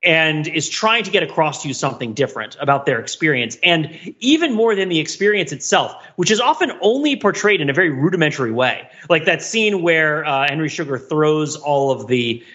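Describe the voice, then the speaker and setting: Bright, forceful man, slightly high voice. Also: a little fast, hardly any pauses, highs cut off. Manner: animated, digressive, wryly analytical. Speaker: podcast co-host. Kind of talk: casual film discussion, rambling commentary.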